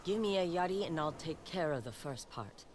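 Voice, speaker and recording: A woman's voice: fairly low pitch, animated, normal speed, slight background noise, close to an everyday voice. An actress playing a tough, self-taught young female wanderer and warrior in a video game, speaking in a terse, dry, guarded way. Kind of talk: dramatic video game acting.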